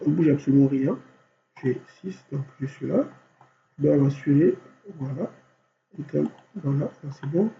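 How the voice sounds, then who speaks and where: A man with a cold teaching design software step by step, thinking aloud and filling gaps with constant voilàs. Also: on the high side, creaky. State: has a cold. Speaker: software instructor. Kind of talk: screen-recorded software tutorial. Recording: dull lo-fi recording with faint hum.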